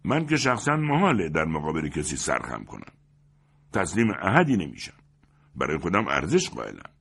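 A man voicing a proud, indignant gentleman in a dramatic story reading, pompous and scornful in his declamatory speech. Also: a bass voice, wildly swooping pitch, creaky, breathy edge, full character voice. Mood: haughty.

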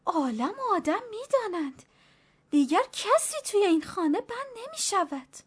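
Woman voicing a scared old servant woman, high pleading voice, wily and superstitious, storybook reading. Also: very animated. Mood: fearful pleading. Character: old stooped female servant, fearful and wily.

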